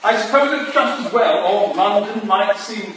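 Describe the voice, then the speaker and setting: An actor playing a charming, stylish Victorian gentleman traveller in a staged play reading, courteous and flirtatious, recorded from a distance in an echoey hall. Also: high voice, animated, moderate pace, noisy background. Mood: breezy, curious.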